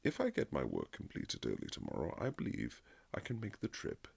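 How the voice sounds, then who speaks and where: A man playing a courtly Regency nobleman husband, tender and gently teasing, in a husky romantic roleplay with formal, old-fashioned phrasing. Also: wildly swooping pitch, brisk, full character voice. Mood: warm, fond. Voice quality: very low, husky, gravelly. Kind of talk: romantic boyfriend ASMR roleplay, period drama.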